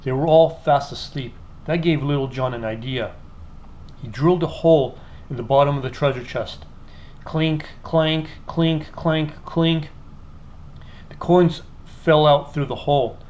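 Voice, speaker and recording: A man narrating a children's storybook aloud in an expressive, scripted read-aloud style. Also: mid-range voice, moderate pace.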